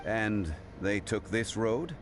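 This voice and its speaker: A man playing a composed, learned gentleman in a video game, speaking in a formal, measured way. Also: fairly low pitch, lively intonation, music in the background. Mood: thoughtful. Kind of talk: video game dialogue acting.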